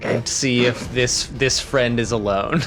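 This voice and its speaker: A man playing a tabletop game, improvising his character's actions in a halting, casual way. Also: mid-range voice, steady tone, fairly bright tone. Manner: halting, repeats words as he describes action. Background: background music.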